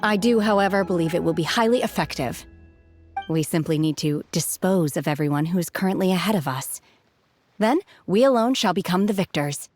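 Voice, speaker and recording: An actress plays a stoic young woman adept in a video game. Her low voice is formal, measured and deadpan, solemn and literal-minded, over faint music. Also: close to an everyday voice. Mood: coolly matter-of-fact. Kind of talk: restrained video game character acting.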